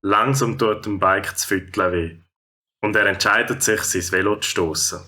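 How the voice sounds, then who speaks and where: Low, bright Swiss German male narrator. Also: steady tone, moderate pace, faint music behind. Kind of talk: scripted children's story narration.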